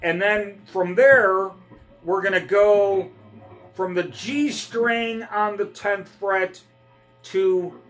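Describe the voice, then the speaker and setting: A middle-aged man teaches guitar in a fairly high, unhurried voice. He talks casually, checking in often with "okay?" and "all right?". Faint guitar notes fill the gaps between his phrases. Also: fairly even intonation, fairly bright tone.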